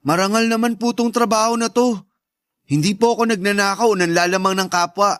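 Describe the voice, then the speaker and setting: An actor playing a polite, proud young Filipino son in a radio drama, speaking earnestly. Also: male voice, sits high, fairly quick, a bit bright, no room sound, clean studio sound. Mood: defensive. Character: meek but proud, hardworking young son. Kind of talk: Tagalog radio drama acting.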